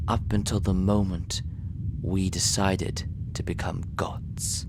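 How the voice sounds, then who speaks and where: A man's voice: deep voice, fairly even intonation, slow pace, whispered. A man playing a solemn cultist narrator in an audio drama, delivering formal scripted narration. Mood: solemn, ominous. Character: solemn cultist narrator guarding a sacred secret. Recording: clear background noise and ambience.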